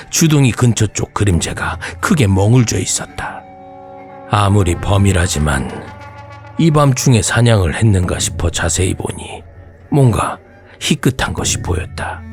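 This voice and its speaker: A man narrating a scripted horror tale in a slow, suspenseful way, sometimes dropping to a hushed breath. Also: sits low, creaky. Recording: music bed behind voice. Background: background music.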